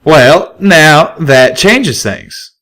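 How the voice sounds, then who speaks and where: A man's voice: a little high, lively intonation, extremely slow, a bit bright, pitched above the performer's own voice, full character voice. Man doing a drawling country stallion. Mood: blunt. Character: gruff country stallion pegasus, blunt and steady.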